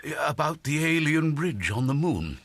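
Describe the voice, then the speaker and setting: Mild, hesitant gentleman, wildly varied pitch. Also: very animated, normal speed. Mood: dejected. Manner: hesitant, understated. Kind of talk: radio drama acting.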